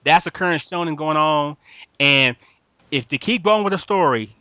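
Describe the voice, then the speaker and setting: A man with a fairly high, forceful voice talks casually as a podcast host. He is emphatic and slangy, circles back to repeat his points and keeps checking he is understood. The sound is dry, with little room to it. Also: expressive, moderate pace, dry recording. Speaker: podcast host reviewing anime. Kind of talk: casual fan chat.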